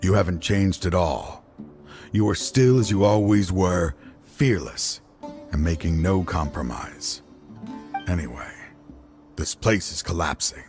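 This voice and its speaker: Dying old mentor, super low and sad, slow solemn talk, music loud behind him. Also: male voice. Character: older male mentor, dying and remorseful. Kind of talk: game cutscene voice acting. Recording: game score playing under voice.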